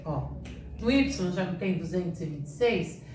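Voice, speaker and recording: A woman's voice: lowish voice, lively intonation, moderate pace. A woman teacher talks through sums step by step, asking questions and answering them herself. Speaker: math teacher. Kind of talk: classroom lesson at a board. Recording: echoey room, voice from a distance, clear background hiss.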